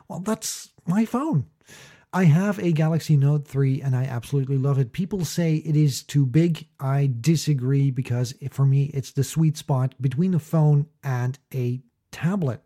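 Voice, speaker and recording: Male presenter, mid-pitched and deeply mellow; casual explainer delivery, conversational, dry humour, leans on key words. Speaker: host, small business owner.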